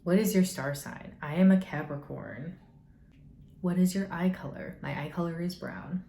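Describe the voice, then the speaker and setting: Low-voiced woman, rambling vlog. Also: moderate pace, creaky, warm tone. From United States. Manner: rambling, hedging, dry sarcastic humor. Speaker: YouTuber. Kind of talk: casual get-to-know-me vlog. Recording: echoey room, faint noise.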